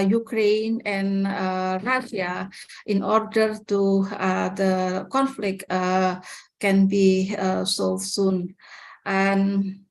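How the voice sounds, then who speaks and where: A woman with an Indonesian accent answering as a lecturer on a webinar panel, speaking hesitantly with frequent pauses over a video call. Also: medium pitch.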